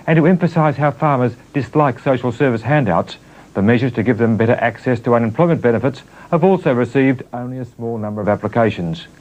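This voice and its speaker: A man reporting business news in a measured, dry news voice-over. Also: medium pitch, a little mellow. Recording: muffled old TV broadcast.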